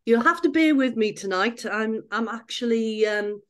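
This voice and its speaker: A woman with a croaky, unwell voice giving an informal training presentation over a video call. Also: fairly high pitch, a bit bright. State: croaky voice from illness. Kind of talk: informal online presentation with slides. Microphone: video call microphone.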